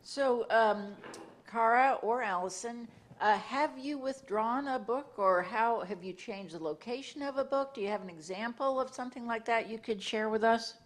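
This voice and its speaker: A woman's voice: on the high side, moderate pace. A woman moderating a panel at a library event, putting direct questions to the panelists.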